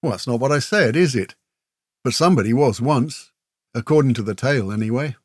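A man's voice: mid-range voice, animated, fairly quick, no room sound, studio quality, lightly acted. A man as a breezy, matey folk warrior. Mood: chatty. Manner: folksy, matey, talkative, with tag questions. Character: breezy, chatty male kinfolk warrior and teasing storyteller. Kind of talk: fantasy audiobook character dialogue.

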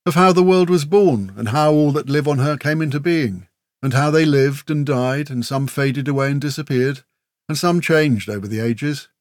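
A man's voice: on the high side, normal speed, dry recording, studio quality, pitched above the performer's own voice, close to an everyday voice. A man voicing a chatty, folksy warrior with a breezy, teasing humour in a fantasy audiobook. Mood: storytelling. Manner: folksy, matey, talkative, with tag questions. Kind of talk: fantasy audiobook character dialogue.

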